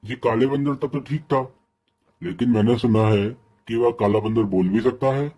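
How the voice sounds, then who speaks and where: Man acting a curious, husky village gossip. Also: mid-range voice, moderate pace, dark tone, lightly acted. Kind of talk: cartoon story voice acting.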